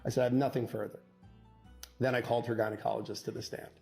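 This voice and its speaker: A man tells a story conversationally, like a lawyer relishing a courtroom tale. He acts out both sides of the dialogue and pauses for effect, with music faintly behind his mid-pitched voice. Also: level tone, gravelly, warm tone. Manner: punchy, acts out quoted dialogue with dramatic pauses. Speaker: divorce lawyer telling an anecdote.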